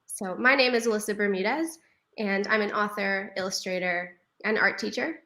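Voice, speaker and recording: A woman's voice: mid-range voice, moderate pace, sharp tone. A woman from New York City moderating a live online panel over a video call, upbeat and laughing often. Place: home living room.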